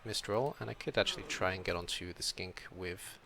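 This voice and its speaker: Young Welsh-born British man, low gravelly voice, chatty game streamer thinking aloud, faint hiss behind. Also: brisk. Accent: British with a slight European tilt. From Wales. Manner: chatty, reads chat aloud, thinks out loud. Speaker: streamer playing a video game. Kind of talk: casual live-stream commentary.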